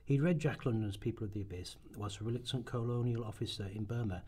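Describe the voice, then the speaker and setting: A man reading scripted documentary narration for a radio play rehearsal, in a measured way with occasional stumbles. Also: fairly low pitch, a little mellow, husky.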